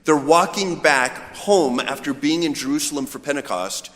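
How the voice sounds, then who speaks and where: A man's voice: on the high side, moderate pace, fairly bright tone. Man, informal sermon, conversational storytelling manner, echoey church with long reverb. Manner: storytelling, voicing imagined dialogue.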